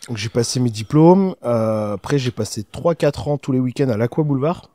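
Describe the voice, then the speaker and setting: A man in his thirties, a podcast guest telling his story in a relaxed way, full of fillers, close on a studio mic. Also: mid-range voice, normal speed, background noise. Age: 30s. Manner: easygoing, many fillers. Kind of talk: casual interview answers. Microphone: studio podcast microphone.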